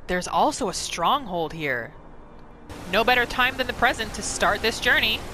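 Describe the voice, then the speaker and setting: A woman gaming host narrating a roleplay playthrough in a casual, expressive, playful storytelling style. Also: a little high, normal speed, a little hiss or hum.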